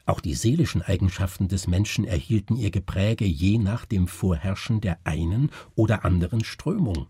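A man voicing a haunted, brooding doctor in a gothic story, husky, halting and intense. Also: low-pitched, animated, mellow, dry recording, clean studio sound, heavily acted. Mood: musing, intense. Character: haunted, brooding male doctor recounting horrors.

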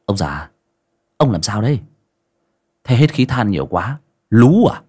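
A man's voice: lowish voice, very animated, unhurried, a little mellow, breathy edge, exaggerated voice. A man voicing a blunt village neighbor who speaks coarsely and mockingly.

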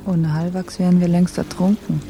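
Casting: attentive pirate crewman, slightly high, gravelly voice, radio play with music behind. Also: little pitch movement, moderate pace. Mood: reflective. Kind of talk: radio drama acting.

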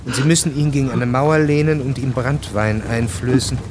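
A man's voice: medium pitch, steady tone, normal speed, background noise, lightly acted. A man reading scripted narration for a radio drama. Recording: narration mixed with loud music and effects.

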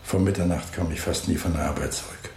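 Weary old working-class father, very low gravelly voice, guarded, halting, radio drama, roomy sound with background noise. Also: fairly even intonation, a little mellow. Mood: subdued, reassuring. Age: older. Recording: roomy, with noisy ambience behind.

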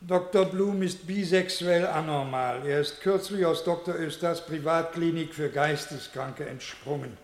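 A man's voice: on the high side, unhurried, few pauses, close to an everyday voice. An actor playing a pompous male doctor who solemnly declaims an absurd clinical report in a satirical radio play. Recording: echoey hall with long reverb.

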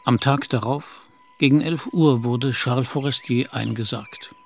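A man's voice: medium pitch, steady tone, measured pace, gravelly, a little hiss or hum. A man narrating a literary radio play from a script.

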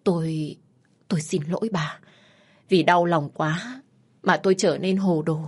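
Woman acting a husky, overwrought grieving mother. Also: lowish voice, a little slow. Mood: tearful, faltering. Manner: emotional, overwrought. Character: grieving, emotional mother-in-law, bitter then tearfully grateful.

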